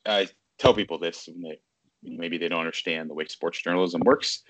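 A man's voice: deep voice, fairly even intonation, moderate pace, a bit bright, a little hiss or hum, poor audio. A man in his thirties from Virginia, a baseball journalist, talking casually from his city apartment and often correcting himself mid-sentence. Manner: rambling, self-correcting, lots of fillers. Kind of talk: casual interview on a video call.

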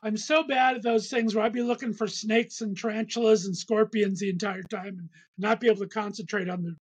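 High-voiced male host, husky tone. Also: steady tone. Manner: quick reactions, short encouraging remarks. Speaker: interview host. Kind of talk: casual interview chat.

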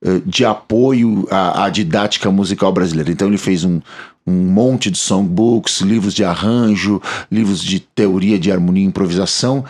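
A Brazilian man co-hosting a podcast, talking casually and enthusiastically about bass records and books, with many restarts. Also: darkish tone. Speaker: podcast co-host, bassist.

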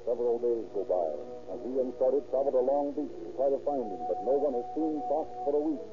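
An actor playing a solemn, deliberate narrator of an old radio crime drama. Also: male voice, medium pitch, expressive, close to an everyday voice. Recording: muffled old radio recording. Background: music.

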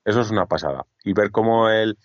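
A man's voice: fairly low pitch, fast, a crowd in the background. A man chatting casually as a co-host, repeating himself, full of fillers and restarts. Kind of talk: casual chat. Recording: dry, dull lo-fi sound.

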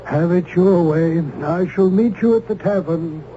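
An actor playing a cautious colonial judge with a troubled conscience in an old-time radio drama, using formal, archaic speech. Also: male voice, high voice, unhurried, dark tone, slight background noise. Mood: wary. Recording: thin, phone-like old radio broadcast.

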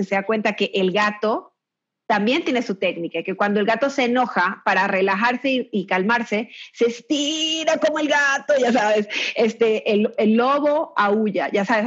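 Mexican woman guest, mid-pitched, casual and chatty, muffled call audio. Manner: chatty, with frequent restarts and laughs. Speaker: guest recommending children's books. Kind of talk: casual chat.

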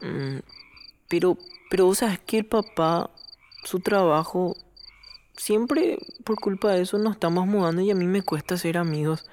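An actor playing a lonely, shy ten-year-old boy in an audio drama, speaking haltingly and pleadingly. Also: mid-range voice, a little mellow, lightly acted. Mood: wistful, pleading. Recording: faint noise, night insects and frogs. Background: crickets and frogs at night.

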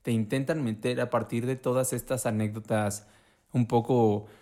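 Mexican guy on a podcast, mid pitch, swears a lot, gets loud and animated doing quotes. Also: moderate pace. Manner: slangy, profane and animated, acts out quotes. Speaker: podcast co-host. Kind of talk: casual conversation.

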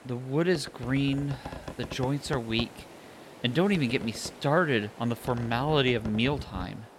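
A man's voice: medium pitch, a little slow, background noise, natural voice. A man voicing a young shipwright who is feisty, blunt and proud, with a snappy, opinionated manner. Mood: animated, scornful.

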